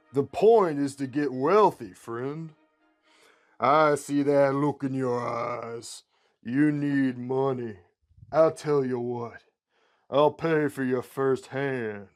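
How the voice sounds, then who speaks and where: A man voicing a large, sinister catfish gambler in a suit, with a deep, slow Mississippi drawl and folksy, persuasive talk, as in a fantasy script reading. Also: faint music behind. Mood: forceful coaxing. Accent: Mississippi southern drawl.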